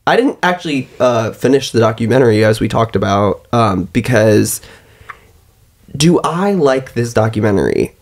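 Young man, halting podcast chatter. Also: mid-range voice, lively intonation. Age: 20s. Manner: halting, peppered with "like". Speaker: podcast co-host. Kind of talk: casual conversational podcast chat. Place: small tiled bathroom, indoors. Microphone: podcast mic on boom arm, close.

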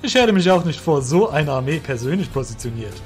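A man's voice: on the high side, sing-song, fairly quick, faint music behind. A man voicing an arrogant male guild master who leads an army, commanding and dismissive, in an audio drama. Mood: scornful, rallying.

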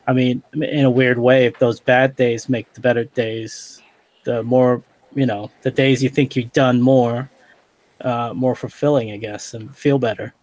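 A man's voice: mid-range voice, a little slow, dry recording. A man in his thirties talks through a stuffed-up nose, his voice nasal. He chats loosely and rambles with fillers, hosting a podcast from a small office over a headset on a video call. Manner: relaxed, rambling with many fillers. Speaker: podcast host. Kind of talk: casual podcast chat. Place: small office room indoors.

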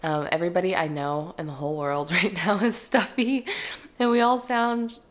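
A young woman, chatting casually to camera at home while stuffy and a little raspy. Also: lowish voice, fairly even intonation, normal speed. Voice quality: slightly raspy. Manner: chatty and upbeat, trailing into soft asides. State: stuffy, congested. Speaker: lifestyle vlogger. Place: living room and kitchen at home. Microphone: camera at close range.

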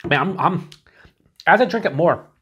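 A man's voice: a little high, expressive, moderate pace, a bit bright, breathy edge. A man in his forties, chatting casually to camera as he reviews a beer, thinking aloud with lots of fillers and restarts, in a small indoor room.

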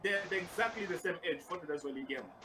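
A young Kenyan man talks fast and animatedly in a casual chat, his voice pitched slightly high. He sits a little back from the mic on a call, with music clearly audible behind him. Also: far from the mic, background noise. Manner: animated, gestures with hands while telling his story. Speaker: guest gamer YouTuber. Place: small room at home, indoors. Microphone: headset on a video call.

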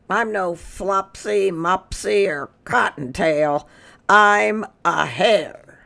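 Woman voicing an indignant, slow-spoken storybook hare. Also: mid-range voice, vocal fry, a bit bright, exaggerated voice. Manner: emphatic, proudly correcting. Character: indignant, boastful desert hare in a children's storybook.

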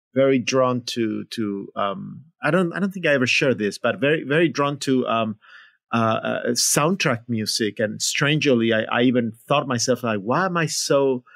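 A man's voice: medium pitch, creaky, no room sound. Hesitant Argentine-born man, dry sound. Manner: halting, many fillers and restarts. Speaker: guest. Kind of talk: interview conversation.

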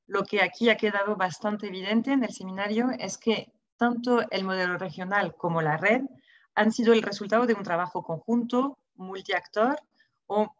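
French lady w french accent giving careful formal remarks on a video call, medium pitch, kinda muffled dry sound. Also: a bit bright, dry recording. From France. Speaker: seminar speaker. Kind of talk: formal closing remarks at an online seminar. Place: small indoor room. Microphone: wired earphone mic on a video call. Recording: dull, lo-fi video call audio.

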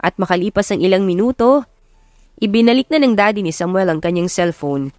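A woman narrates a scripted love story in dramatic audiobook style. Her pitch is average for a woman. The recording is close, with hardly any room sound. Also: fairly quick, a little mellow, dry recording.

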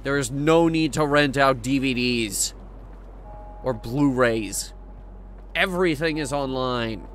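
A man's voice: mid-range voice, takes it slowly, pauses often, a bit bright, a little hiss or hum. A man doing casual live game commentary as a streamer, chatting to viewers in a wry, matter-of-fact way.

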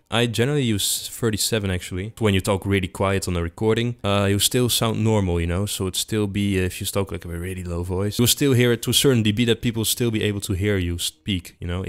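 Fast-talking, low-voiced man. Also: smooth. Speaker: tutorial host. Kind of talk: casual software tutorial narration. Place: indoor room with little acoustic treatment.

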